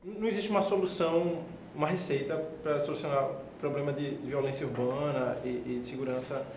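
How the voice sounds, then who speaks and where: Measured young man, roomy echo. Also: medium pitch, steady tone, a little mellow, a little hiss or hum. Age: 20s. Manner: measured, weighing both sides. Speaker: law student panelist. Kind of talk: casual panel debate.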